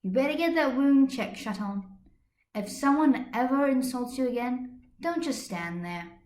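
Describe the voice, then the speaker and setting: A woman's voice: high-pitched, expressive, unhurried, darkish tone, echoey room, pitched above the performer's own voice. A woman voicing a fiercely loyal teenage schoolgirl heroine with an indignant, emphatic manner, in an amateur story reading. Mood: stern, caring.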